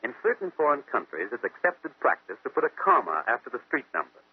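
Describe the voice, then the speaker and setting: A man's voice: medium pitch, big pitch swings, husky, no room sound. A man playing a brilliant, methodical American detective who explains deductions step by step in 1940s radio mystery style. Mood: confident, explanatory. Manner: deliberate, didactic, question-and-answer reasoning. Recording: muffled vintage radio recording, phone-like.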